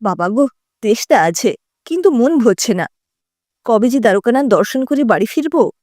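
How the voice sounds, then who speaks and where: A fussy, bossy elderly Bengali mother-in-law as a woman storyteller plays her. She grumbles and chides in a homely way, with a lively, swooping pitch and a soft-edged tone, recorded close and dry. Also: normal speed, no echo. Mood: grumbling.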